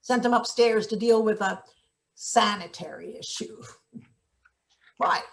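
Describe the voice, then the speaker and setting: A woman playing a blunt, paranoid maintenance worker in a live play reading, with deadpan delivery. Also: medium pitch, unhurried, gravelly. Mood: irritated, suspicious. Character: gruff, paranoid, scheming female maintenance worker. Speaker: actor. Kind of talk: live table read of satirical play.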